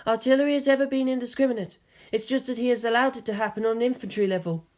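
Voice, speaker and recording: A woman reading fan fiction aloud in a lively, expressive narrating voice. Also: on the high side, rapid-fire. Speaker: audiobook reader.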